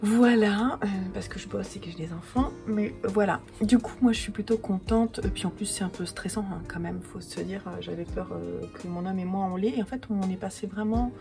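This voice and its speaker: Chatty French woman with a gravelly, stuffy voice. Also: mid-range voice, brisk, few pauses, dark tone, faint music behind. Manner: chatty, many fillers and restarts. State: stuffy from a cold. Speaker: podcaster and yarn dyer. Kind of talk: casual chat to camera. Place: at home.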